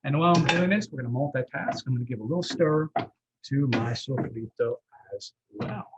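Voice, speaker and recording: A man's voice: medium pitch, expressive, normal speed, noisy background. A man giving a live cooking demonstration as guest chef, explaining step by step in a folksy, reassuring, conversational way.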